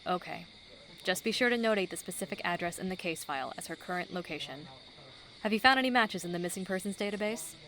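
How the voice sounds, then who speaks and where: A woman's voice: fairly low pitch, animated, quick pace, fairly bright tone, a little hiss or hum, rough recording. A woman playing a businesslike, weary police detective in an audio drama, with a dry manner. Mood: exasperated, brisk. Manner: dry, no-nonsense.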